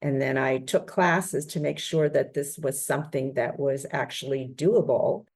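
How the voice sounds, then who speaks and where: Low, gravelly-voiced earnest woman. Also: unhurried, darkish tone. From United States. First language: Spanish. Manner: earnest, reflective storytelling with fillers. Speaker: nonprofit founder. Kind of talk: podcast interview answer.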